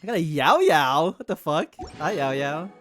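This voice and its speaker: A man talks casually to his livestream audience as he plays. His pitch moves around a lot, he repeats himself excitedly, and there is faint noise with some clicks. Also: normal speed. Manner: informal, excitable, repeats phrases. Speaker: game streamer. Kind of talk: casual livestream chat while gaming.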